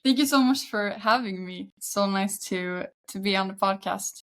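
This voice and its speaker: A woman's voice: mid-range voice, moderate pace, fairly bright tone, faint music behind. A woman in her thirties from Sweden with a Swedish accent, chatting casually as a guest in an interview. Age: 30s. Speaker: podcast guest, football agent.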